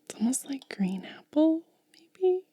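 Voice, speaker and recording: A woman whispering in soft-spoken ASMR style, gently and unhurriedly explaining each step close to the microphone. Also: high-pitched, very animated, measured pace, no room sound. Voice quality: breathy whisper. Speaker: ASMR content creator.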